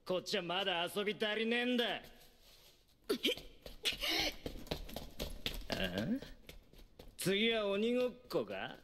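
An actor playing a cruel, cocky young male thug who taunts his opponent in rough, sneering speech. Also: sits high, expressive, moderate pace, background noise. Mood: taunting. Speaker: villain. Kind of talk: anime dub acting. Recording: voice over loud background music.

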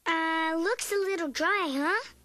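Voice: very high-pitched, slow, sharp tone, slight background noise, full character voice. A performer voicing a cheerful, eager young fox boy sidekick in a fan dub of a cartoon, with music behind. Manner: eager, chirpy.

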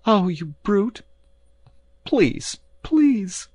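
A man voicing a furious small woman in an audiobook, a shrill wife scolding indignantly. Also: sing-song, very slow, a little mellow, no room sound, full character voice. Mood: wailing, outraged. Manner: shrieking, indignant, wailing. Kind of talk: audiobook character voice.

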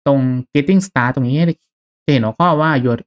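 A man narrating a step-by-step software tutorial in a casual, conversational, instructional way. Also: medium pitch, moderate pace. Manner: instructional, conversational with polite particles. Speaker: tutorial host.

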